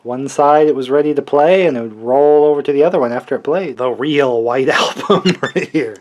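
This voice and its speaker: A man's voice: medium pitch, a little fast, noisy background. A man around his thirties or forties giving casual, chatty commentary as he hosts a collector's unboxing video, with wry, joking asides.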